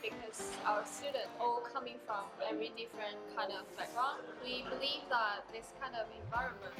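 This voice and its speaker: A woman's voice: on the high side, steady tone, fairly quick. A woman in her twenties giving a scripted promotional presentation as a student presenter. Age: 20s. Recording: echoey, loud music behind voice.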